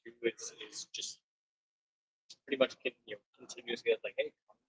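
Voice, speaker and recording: A man from a comic booth team talking casually, halting and hesitant. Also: mid-range voice, steady tone, a bit bright, slightly rough, rough recording.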